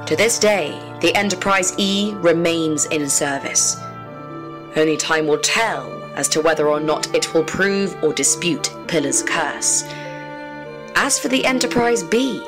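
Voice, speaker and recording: A woman narrating a space saga audio drama as a solemn, ominous storyteller. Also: low-pitched.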